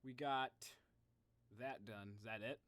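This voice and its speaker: A man with a mid-pitched voice talks as a live game streamer. He is informal and halting, addressing viewers and muttering to himself, in a dry, close recording. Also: fairly bright tone, no room sound. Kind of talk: casual livestream chat.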